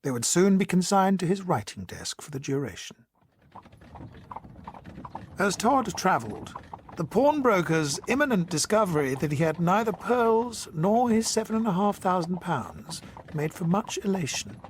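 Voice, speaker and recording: An actor playing the narrator of a period penny dreadful audio drama, delivering formal, literary scripted storytelling. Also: male voice, sits high, big pitch swings, moderate pace, slight background noise. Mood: wry, narrating.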